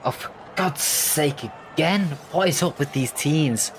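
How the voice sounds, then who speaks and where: A man's voice: on the high side, measured pace, lightly acted. A man acting an ordinary, down-to-earth, grumbling bloke out on a noisy street in an audio drama. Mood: weary grumbling. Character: Craig, grumbling ordinary working man walking home, expectant father. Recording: noisy outdoor street ambience.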